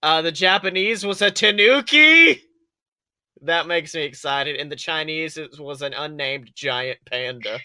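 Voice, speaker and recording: A man with a very bright, fairly high voice co-hosts a casual podcast chat. He is excitable and full of exclamations, and swears freely. The sound is close and dry. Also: measured pace, clean tone, no room sound. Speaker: podcast co-host. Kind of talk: casual chat about an animated film.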